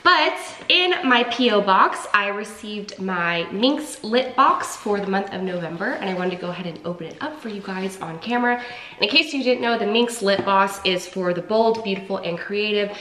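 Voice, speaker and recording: A woman's voice: mid-range voice, lively intonation, normal speed, a bit bright, reverberant, a little hiss or hum. A young woman in her twenties, stuffed up and congested, chatting upbeat to camera at home for her vlog. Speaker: vlogger. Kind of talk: casual vlog talk to camera. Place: home, indoors. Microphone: camera mic at arm's length.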